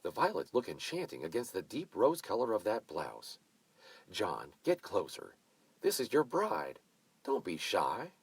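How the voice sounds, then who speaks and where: A man voicing a bossy, effusive mature woman who hosts a wedding party and gives orders briskly. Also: on the low side, huge pitch swings, slightly rough, no room sound, voice pushed up, exaggerated voice. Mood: gushing. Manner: brisk, commanding, gushing.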